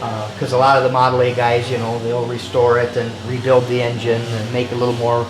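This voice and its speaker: A man from Michigan chatting casually as an interview guest, halting and repeating words as he goes. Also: mid-range voice, monotone, over music. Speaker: interview guest, car collector. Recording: echoey, clearly noisy location sound.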